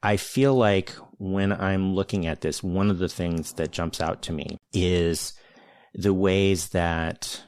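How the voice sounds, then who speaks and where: A man hosting an interview, talking in a measured, searching way with many restarts and hedges. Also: deep voice, fairly even intonation, darkish tone, clean tone, no room sound. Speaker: podcast host, interviewer. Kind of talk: thoughtful conversational interview.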